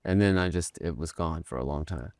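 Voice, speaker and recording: A man's voice: low-pitched, brisk, a little mellow, no echo. A man from Texas, a guest musician chatting casually in a podcast studio, rambling and self-deprecating with many fillers and restarts. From Texas, USA. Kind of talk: casual interview chat.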